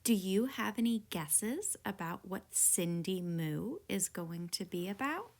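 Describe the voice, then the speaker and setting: A woman reading a children's picture book aloud as a teacher would, in an expressive, deliberate storytelling style. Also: medium pitch, vocal fry.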